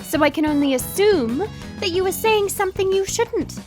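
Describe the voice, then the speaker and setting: A woman's voice: very high voice, lively intonation, moderate pace, over music. A woman playing a proud, guarded dragon princess in human form, speaking in a formal, imperious way in an audio fantasy drama. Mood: stern, commanding.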